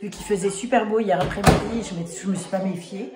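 A woman in her forties from France, hoarse today, chatting casually to a phone camera in her kitchen with many fillers and restarts. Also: mid-range voice, steady tone, brisk. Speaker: family vlogger. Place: home kitchen, indoors. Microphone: phone camera at arm's length. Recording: echoey room with family noise.

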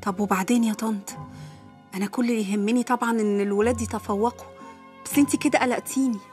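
A woman's voice: fairly high pitch, steady tone, very fast, darkish tone, soft background music, close to an everyday voice. A woman in her thirties with an Egyptian accent acting an anxious, stubborn wife and mother in a TV comedy, with a fretful manner. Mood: worried. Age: 30s. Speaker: actor in a TV comedy series. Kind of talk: comic TV drama dialogue. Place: living room set, indoors.

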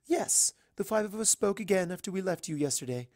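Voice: medium pitch, animated, brisk, darkish tone, no room sound, studio quality, pitched above the performer's own voice, close to an everyday voice. A narrator voicing a refined, bossy but caring female unicorn pony with formal, genteel phrasing. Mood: firm, caring.

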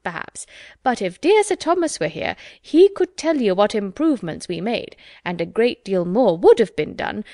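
A woman voicing an officious, self-important older widow who talks insistently and loudly. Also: medium pitch, no room sound, clean studio sound, close to an everyday voice. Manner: insistent, loud, fussy.

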